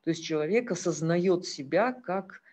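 Husky woman giving a slide lecture. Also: lowish voice, expressive, normal speed, a little mellow. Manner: earnest, hesitant with fillers, occasional laughs.

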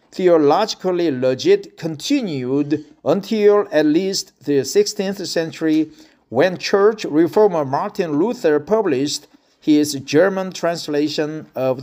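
A man with a Korean accent reads slowly in English, often going back over a phrase before he moves on. His voice sits a little high for a man, and his tone stays relaxed and conversational between the sentences he reads. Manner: reads slowly, repeats phrases, breaks off to comment. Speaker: reader practicing English. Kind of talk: reading aloud with casual commentary.

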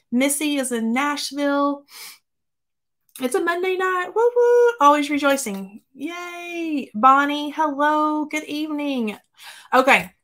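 A woman in her forties, slightly hoarse, chatting casually as she hosts a live craft stream from her home craft room and greets viewers by name. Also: a little high, fairly bright tone. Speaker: craft livestream host.

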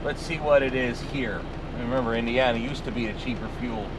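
A man's voice: mid-range voice, fairly even intonation, brisk. A man, a truck driver musing aloud in an offhand, rambling way as he drives. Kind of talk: casual driving commentary. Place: inside a truck cab on the road. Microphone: dash camera in the cab. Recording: loud engine and road noise.

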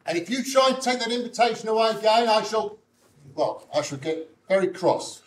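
A man acts a henpecked, mild-mannered father and old soldier in pantomime style. His voice is high for a man, hesitant and gently blustering. It is captured in a roomy, echoing stage space. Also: expressive, normal speed. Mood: feebly stern. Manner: hesitant, mildly indignant, proper. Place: indoor stage, amateur theatre performance. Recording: roomy stage recording, noticeable background noise.

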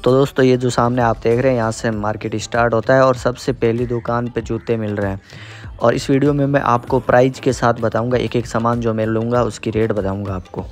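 A man in his thirties from Rajasthan, vlogging in a lively, chatty way as he walks through an outdoor street market, quoting prices and haggling with vendors, recorded on a handheld camera at arm's length. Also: mid-range voice, brisk, soft background music. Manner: lively, chatty, keeps saying "so friends", quotes prices and bargains with vendors. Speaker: travel vlogger. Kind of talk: casual vlog chat while walking a street market.

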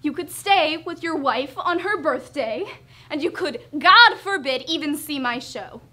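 Teen girl doing a jealous hurt young wife, theatre monologue, emphatic, high sharp voice. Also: lively intonation, a little slow, heavily acted. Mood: exasperated, pleading. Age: teens. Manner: emphatic, sarcastic. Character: hurt, jealous young wife berating her self-absorbed husband.

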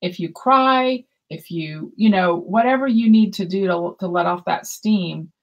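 A woman's voice: mid-range voice, a little slow, muffled recording. A woman in her fifties co-hosting a yarn shop livestream over a video call, chatting and reading viewer comments aloud. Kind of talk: casual livestream chat. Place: room with shelves of yarn behind. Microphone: webcam video call.

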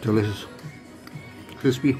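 A man's voice: lowish voice, steady tone, a little slow. A man, chatting casually to a phone camera while reviewing a pub meal, tired and rambling. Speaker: food vlogger. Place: pub dining room, indoors. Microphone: phone held close to the face. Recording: music playing behind.

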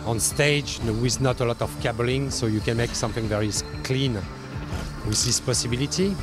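A man gives an explanatory factory demonstration into a handheld mic, haltingly, searching for words. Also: mid-range voice. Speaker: factory guide. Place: large factory workshop, indoors. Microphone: handheld microphone. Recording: music behind the voice.

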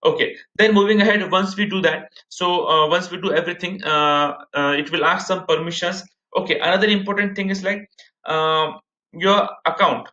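A man's voice: high voice, fairly even intonation, normal speed, bright, forceful tone. A man in his thirties with an Indian accent, presenting a casual step-by-step screen-share tutorial in a repetitive way. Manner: repetitive, step-by-step explaining, addressing viewers as guys. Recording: muffled, echoey room recording.

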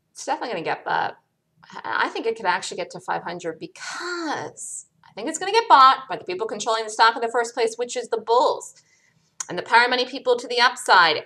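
A woman's voice: lowish voice, pushed and bright. A woman analyst commenting on a chart, speaking emphatically and repeating words for stress. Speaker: host, stock trader and analyst. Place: indoors, screen-recorded voice-over.

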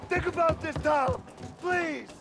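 Very high-pitched, frantic pleading man. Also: slow pace, vocal fry, over music, full character voice. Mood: terrified, pleading. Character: terrified male gangster traitor begging for his life. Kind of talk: cinematic crime drama game acting.

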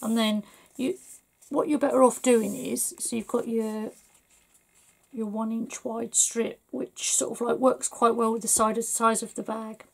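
A middle-aged woman from England, slightly hoarse, chatting her way through a papercraft tutorial at her desk with lots of fillers. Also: a little high, fairly even intonation, unhurried. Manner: chatty, rambling, full of fillers. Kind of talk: casual crafting tutorial.

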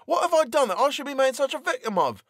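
A man's voice: big pitch swings, fast talker, a bit bright, no echo, clean studio sound, heavily acted. A man voicing a desperate pleading prisoner. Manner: high strained pleading.

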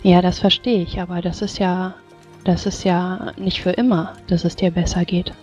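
A woman playing a tabletop game, improvising in character in a gentle, coaxing, hesitant way. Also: fairly low pitch, flat delivery, moderate pace, highs cut off. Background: faint music.

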